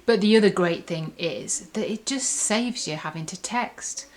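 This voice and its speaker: A woman's voice: mid-range voice, measured pace. A woman reviewer in a casual, chatty and enthusiastic demonstration, rambling along as she explains. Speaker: app reviewer.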